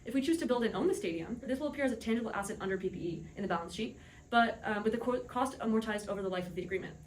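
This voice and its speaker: A woman's voice: fairly high pitch, rapid-fire, a little hiss or hum, poor audio. A woman making a scripted, formal argument as a businesslike debate panelist. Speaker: debate panelist playing an executive.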